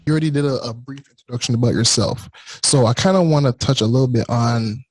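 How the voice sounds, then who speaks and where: A man's voice: medium pitch, fast talker, faint music behind. A young man hosting a casual podcast interview, often stammering and restarting, with many small agreeing sounds. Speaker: podcast host.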